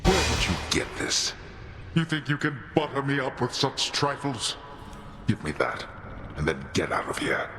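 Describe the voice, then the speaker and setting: A man's voice: mid-range voice, big pitch swings, normal speed, reverberant, noisy background, close to an everyday voice. A man voicing a stern, contemptuous god-king father in a video game, speaking in an imperious, dismissive, commanding way. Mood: threatening, scornful.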